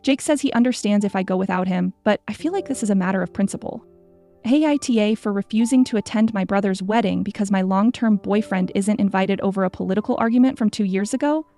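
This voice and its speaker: A woman's voice: medium pitch, fast talker, mellow. A woman reading first-person stories as scripted narration. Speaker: story narrator.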